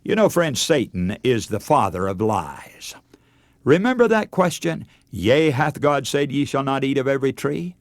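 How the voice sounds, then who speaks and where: A man delivers a radio sermon with earnest emphasis and dramatic pauses. His voice swings widely in pitch as he presses each point. The sound is close and dry, with no room around it. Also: animated, no echo. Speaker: radio preacher.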